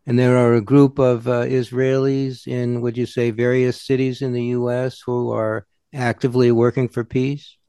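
A man's voice: mid-range voice, little pitch movement, normal speed, few pauses, dark tone, clear, resonant tone, no room sound, studio quality. A man interviewing a guest with probing, conversational questions. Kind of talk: radio interview.

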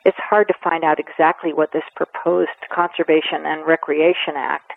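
A woman in her seventies giving a radio interview, talking earnestly and with emphasis, pausing to weigh her words. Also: sits low, flat delivery, vocal fry, no echo. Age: 70s. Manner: earnest and emphatic, with measured pauses. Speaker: conservation advocate guest. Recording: tinny phone line, dry.

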